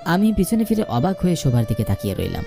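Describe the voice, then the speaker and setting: A man's voice: a little high, wildly swooping pitch, fast, vocal fry, music in the background. A man narrating a scripted first-person ghost story in a dramatic, suspenseful storytelling manner.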